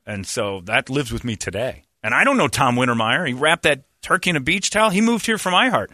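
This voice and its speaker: A man hosting a morning radio show, telling animated stories in casual banter with his co-hosts. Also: mid-range voice, fairly quick, no room sound, studio quality. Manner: animated storyteller who slips into voices.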